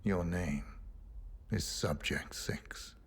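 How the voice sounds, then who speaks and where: An actor playing a cold, resolute assassin of few words in a game cutscene, terse and controlled, with a gravelly voice. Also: male voice, sits low, very slow, full character voice. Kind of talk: dramatic game cutscene acting.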